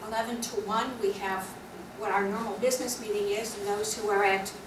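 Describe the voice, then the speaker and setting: A woman's voice: mid-range voice, steady tone, brisk. A woman officer laying out upcoming class plans at a guild meeting in a practical, explanatory way. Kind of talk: announcements at a club meeting. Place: meeting room. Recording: echoey room, distant mic, noisy.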